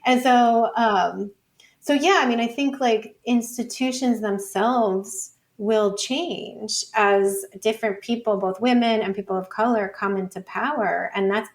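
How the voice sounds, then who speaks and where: A woman's voice: on the high side, fairly even intonation, unhurried. A woman researcher speaking as an interview guest, informal and conversational, sprinkling her points with "like" and "you know". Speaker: academic researcher, podcast guest.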